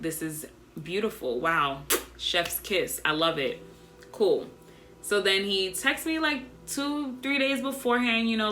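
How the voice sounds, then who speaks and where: Young female vlogger, soft unprojected delivery, lowish pitch with a crisp bright edge, chatty storyteller. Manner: soft, doesn't project, monotone by her own account; chatty and animated, addresses viewers as y'all. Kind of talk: casual storytelling to camera.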